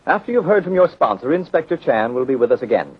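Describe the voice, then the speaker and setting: A man's voice: medium pitch, sing-song, fast. Noisy vintage radio announcer, male. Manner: formal, dramatic announcing. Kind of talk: 1930s scripted radio narration. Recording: muffled, very noisy 1930s radio recording.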